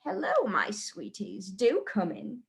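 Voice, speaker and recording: A woman's voice: medium pitch, big pitch swings, slow, gravelly, heavily acted. A woman voicing a sly, grinning witch from a children's storybook, coaxing and gloating.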